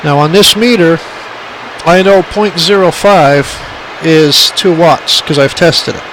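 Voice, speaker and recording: Casting: man, slightly high voice, plainspoken hobbyist walking through gear informally, repeats key points, faint noise on a homemade phone recording. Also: slow pace. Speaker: radio hobbyist demonstrating equipment. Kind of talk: informal hands-on tutorial. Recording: clearly noisy amateur phone recording.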